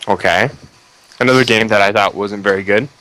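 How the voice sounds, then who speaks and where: A man's voice: on the low side, a little fast, sharp tone, low-quality recording. A man hosting a podcast, chatting casually about video games in a blunt, sarcastic and critical way. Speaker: podcast host. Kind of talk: casual video game review chat.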